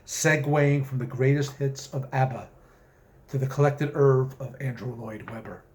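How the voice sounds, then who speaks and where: A man with a mid-pitched voice speaks as a writer sharing his own short fiction. His phrasing is measured and deliberate, in the manner of an author reading at home to listeners. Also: a little mellow. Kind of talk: story reading with spoken introduction.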